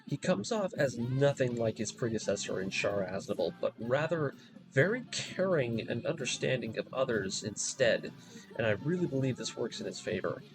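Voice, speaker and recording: A man's voice: mid-range voice, low-quality recording. A man narrating a scripted video review, moving between commentary and story recap.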